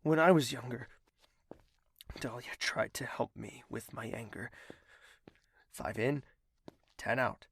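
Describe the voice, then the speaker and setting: An actor playing a proud, wounded young male royal with a fierce temper in an audio drama, speaking in an intense, imperious and deliberate way. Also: mid-range voice, vocal fry, breathy edge, exaggerated voice. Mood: pained, brooding. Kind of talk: dramatic audio drama acting with narrated inner thoughts.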